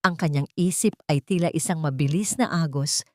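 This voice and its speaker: A woman's voice: low-pitched, moderate pace, warm tone, no echo, clean studio sound. A woman reading scripted, dramatic love-story narration for an audio drama.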